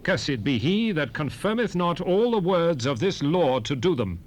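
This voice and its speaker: A man playing a solemn prophet, reciting scripture in a measured, declamatory way for a dramatized Bible recording. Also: a little high, very animated. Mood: solemn, stern. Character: solemn male prophet proclaiming blessings and curses. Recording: hissy 1970s cassette recording.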